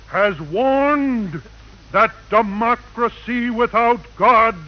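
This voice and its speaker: Slow, high-pitched solemn male orator. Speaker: clergyman speaker. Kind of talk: formal religious radio address. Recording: muffled 1940s radio broadcast recording.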